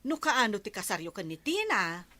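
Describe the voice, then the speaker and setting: A woman's voice: mid-range voice, very animated, normal speed, sharp tone. An actress with a Filipino Ilocano accent playing a pushy, nagging village woman in a radio comedy drama. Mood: teasing, nosy.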